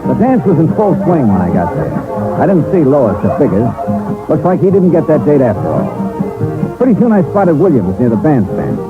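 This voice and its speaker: A man's voice: fairly high pitch, big pitch swings, moderate pace, loud music behind the voice. An actor playing a wry, hard-boiled investigator narrating his case in a clipped old radio detective style. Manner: clipped, wry first-person storytelling. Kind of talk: 1950s-60s radio detective drama narration. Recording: muffled old-time radio recording.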